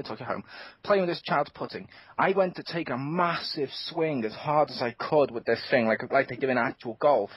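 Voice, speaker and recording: A man's voice: medium pitch, slightly rough. A man co-hosting a casual gaming podcast, telling rambling stories with plenty of swearing. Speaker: podcast co-host. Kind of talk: casual chat. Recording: dull lo-fi podcast audio.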